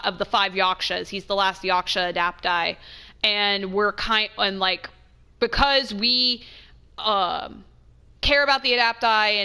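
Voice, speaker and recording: A woman's voice: on the high side, pushed and bright. A young woman streaming a game, chatting casually and explaining things in a rambling, enthusiastic way. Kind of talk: casual chat, let's play commentary.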